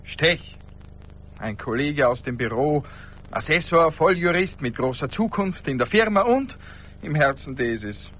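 An actor playing a meek, self-doubting office clerk with a plaintive, hesitant delivery in a radio play. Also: male voice, a little high, animated, a little slow, fairly bright tone, slight background noise. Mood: resigned, defeated. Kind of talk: 1980s German radio play acting.

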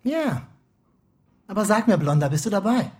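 Cocky streetwise crook. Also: male voice, high-pitched, very animated, a little mellow. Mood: eager, conspiratorial. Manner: brisk, slangy, conspiratorial. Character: streetwise young male petty crook, cocky, scheming. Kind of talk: German radio play acting.

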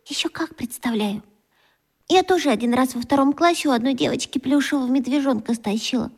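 A woman's voice: sits high, a little fast, darkish tone, breathy edge. A woman playing a tender-hearted, earnest young schoolgirl who pleads emotionally in a children's radio play. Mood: confiding.

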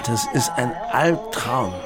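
A man's voice: medium pitch, wildly swooping pitch, slow pace. An actor playing a reclusive, awkward old grandfather in a German radio drama, hesitant and reflective with a wry edge. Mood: weary recollection. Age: 60s or older. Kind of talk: German radio drama acting, dialogue and inner monologue.

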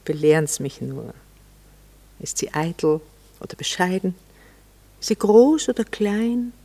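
A woman's voice: fairly low pitch, animated, unhurried, warm tone. An actress in a classic radio play playing an elegant, condescending society lady, curious, coquettish and insistent. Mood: teasing, insistent.

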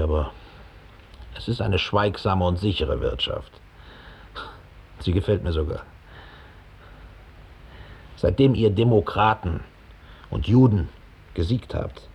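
An actor playing a cold, self-satisfied middle-aged former Gestapo officer in a measured, contemptuous radio play monologue. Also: male voice, sits low, darkish tone, exaggerated voice. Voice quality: gravelly, husky. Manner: measured, coldly matter-of-fact, contemptuous.

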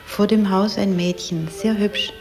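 A woman narrates in a measured, deliberate way, phrase by phrase, as an interpreter would. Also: mid-range voice, fairly even intonation. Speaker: interpreter retelling the story. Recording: music playing behind the voice. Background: music.

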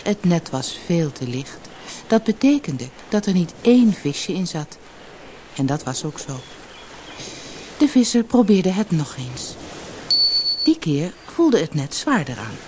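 A woman reading a children's fairy tale as a storyteller, in an expressive, measured narrating style. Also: on the low side. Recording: noisy old cassette audiobook.

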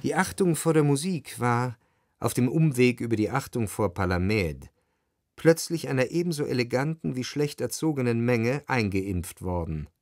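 An actor playing an urbane literary narrator with measured, dryly ironic radio drama delivery. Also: male voice, mid-range voice, expressive, a little mellow, no echo, clean studio sound, close to an everyday voice.

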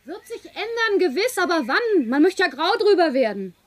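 An actress playing an exasperated, sharp-tongued woman who grumbles and exclaims in a German radio play. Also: very high voice, very animated, moderate pace, no room sound.

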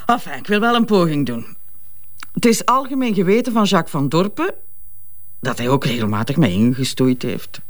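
A blunt, worn-out Flemish wife. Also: female voice, low-pitched, sing-song, normal speed. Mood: scornful. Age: middle-aged. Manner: frank, sardonic, unabashed. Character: blunt, cynical, worn-out betrayed wife, middle-aged. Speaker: actor. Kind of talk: audio drama dialogue.

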